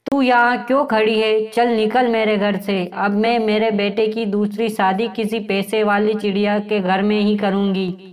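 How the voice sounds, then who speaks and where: A woman plays a domineering older mother-in-law bird in a cartoon fable. She is greedy and harsh, and gives orders in a scolding, commanding way at a middling pitch. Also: flat delivery, unhurried, natural voice. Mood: stern, demanding. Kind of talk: Hindi moral-story cartoon dubbing.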